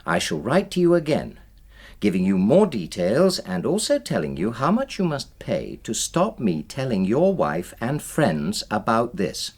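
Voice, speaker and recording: A man playing a genial, mildly bewildered middle-aged English publisher and husband in a naturalistic radio drama, with a husky voice. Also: medium pitch, huge pitch swings, a little slow, darkish tone, full character voice. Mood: measured reading.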